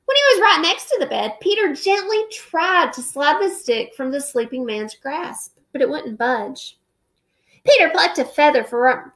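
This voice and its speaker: Woman, 30s, teacher; slow, expressive storybook read-aloud, webcam at home. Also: high voice, creaky. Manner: expressive storybook reading with slow, drawn-out emphasis. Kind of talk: children's picture book read-aloud. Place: indoor room at home. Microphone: webcam at close range.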